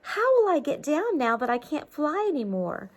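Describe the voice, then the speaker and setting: A woman's voice: sits high, very animated, normal speed, pitched above the performer's own voice. A woman voicing a small, bewildered male bumblebee from a storybook, plaintive and scared.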